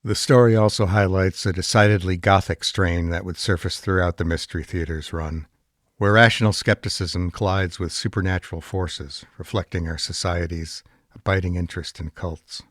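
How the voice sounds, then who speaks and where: A man's voice: low voice, steady tone, normal speed, dry recording, studio quality. A man presenting a program in a scripted documentary narration style.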